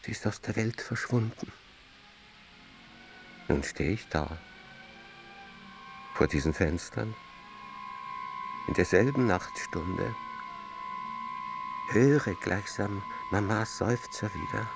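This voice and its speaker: An actor playing a melancholy, run-down balding man in a literary radio play, speaking in a weary, halting, reflective way. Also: on the low side, vocal fry, background noise. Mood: grieving. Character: melancholy, run-down, balding Viennese man mourning his mother. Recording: music under the voice.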